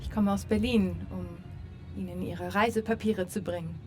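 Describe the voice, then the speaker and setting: A woman's voice: mid-range voice, steady tone, moderate pace, natural voice. An actress playing a resolute young resistance woman, controlled and determined. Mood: guarded, formal. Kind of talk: cinematic war game acting. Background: music.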